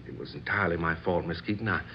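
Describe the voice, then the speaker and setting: A man's voice: sits low, fast. An actor playing an obliging, flustered and apologetic man in a radio drama, with bumbling politeness. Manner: eager to please, bumbling politeness. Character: obliging, flustered, apologetic male séance helper. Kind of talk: old-time radio drama acting. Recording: dull lo-fi radio recording, faint hiss.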